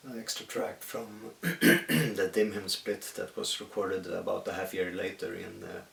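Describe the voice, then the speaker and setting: Gravelly low-voiced Swedish man, echoey. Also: lively intonation, a bit bright. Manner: halting, thoughtful, many pauses. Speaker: musician. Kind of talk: reflective solo monologue. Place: at home in the countryside. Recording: echoey room, distant mic, faint hiss.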